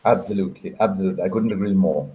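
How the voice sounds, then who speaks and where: Lowish-voiced man moderating a panel. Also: rapid-fire, highs cut off. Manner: courteous, hesitant repetitions. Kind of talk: conversational interviewing.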